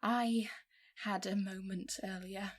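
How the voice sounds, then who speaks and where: A woman's voice: mid-range voice, steady tone, slow pace. A woman acting an anxious, pleading mother who fears for her child, in an emotional audio drama. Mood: distraught, defensive.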